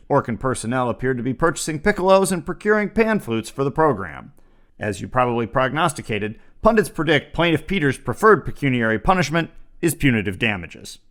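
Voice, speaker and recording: A man's voice: mid-range voice, fairly bright tone. A man hosting a comedy show, reading scripted parody news in a dry, mock-serious newscaster manner. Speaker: comedy podcast host.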